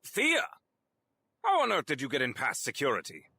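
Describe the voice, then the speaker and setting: A man's voice: mid-range voice, wildly swooping pitch, normal speed, pushed and bright, slightly rough, full character voice. A man playing a sardonic, pompous older corporate executive in an audio drama, with theatrical delivery. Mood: startled.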